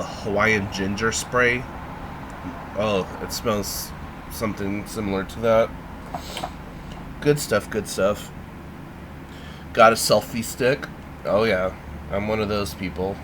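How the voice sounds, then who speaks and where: A man with a fairly low voice talks unhurriedly through a casual vlog. He stalls on frequent ums and sounds a bit stuffed up. A steady background noise runs under everything. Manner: halting, lots of ums and trailing pauses. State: congested, feeling sick. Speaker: vlogger.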